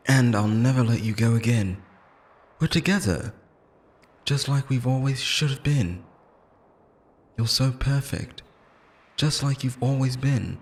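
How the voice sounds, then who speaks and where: A man's voice: mid-range voice, close to an everyday voice. A man playing an obsessive, lonely immortal lover in an intimate, theatrical roleplay monologue, pleading and possessive, moving between tenderness and menace.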